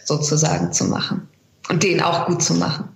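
A woman's voice: low voice, little pitch movement, a little fast, gravelly, roomy sound. A woman speaking as an interview guest, explaining things conversationally with examples over an internet call. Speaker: interview guest, voice actress and dubbing director. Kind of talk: casual interview chat. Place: remote internet call, echoey room.

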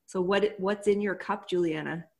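Woman, slightly low voice, interview host, casual, encouraging, reflective. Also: steady tone, moderate pace, gravelly, a little mellow.

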